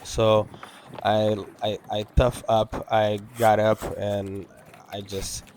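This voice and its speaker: A man whose first language is Portuguese, speaking English with an accent as he talks over his window cleaning video, halting and full of fillers. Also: on the low side, completely flat delivery, darkish tone, slight background noise. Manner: halting, many fillers and restarts. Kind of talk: casual talk-over.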